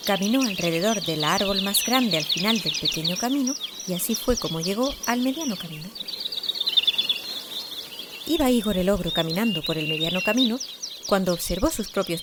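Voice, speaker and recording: A woman narrating a scripted children's story expressively, with deliberate emphasis. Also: medium pitch, heavy background noise. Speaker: author narrating her own story.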